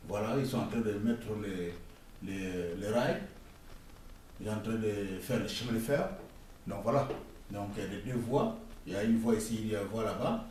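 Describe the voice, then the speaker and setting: A man's voice: on the low side, darkish tone, a little hiss or hum. Congolese man lecturing from afar outdoors. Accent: Congolese French. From Democratic Republic of the Congo. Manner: emphatic, scolding, halting with frequent pauses. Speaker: vlogger. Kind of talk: casual spoken commentary. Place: outdoors near a construction site. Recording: echoey and distant with faint ambience.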